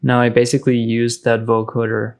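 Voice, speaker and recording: A man narrating a casual software tutorial as a music production tutor, informal and explanatory in manner. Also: mid-range voice, completely flat delivery, fairly quick.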